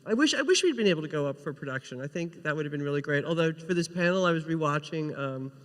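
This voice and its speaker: A man speaking as a writer guest on a panel, telling casual anecdotes with animated quoted asides. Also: fairly high pitch, fast talker. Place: large indoor convention panel room. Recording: echoey hall with long reverb.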